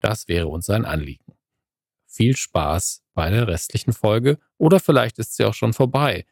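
A man's voice: lowish voice, lively intonation, moderate pace, creaky, no room sound. A man co-hosting a podcast, talking in a dry, self-mocking way. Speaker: podcast co-host. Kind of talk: casual podcast chat and recorded spoken insert.

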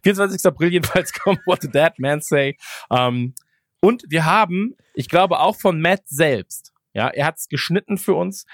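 A man's voice: fairly high pitch, expressive, moderate pace, no room sound, clean studio sound. A man chatting casually as a podcast host, rambling along with ironic jokes. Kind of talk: casual chat.

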